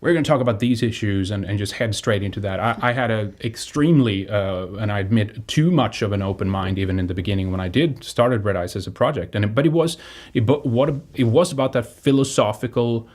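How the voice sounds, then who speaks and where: A man from Sweden with a fairly low voice speaks as an online host addressing his viewers. He is a native Swedish speaker talking off the cuff, and he often doubles back and repeats words as he goes. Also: a little mellow. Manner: halting, repeats and restarts words. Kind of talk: unscripted appeal to viewers.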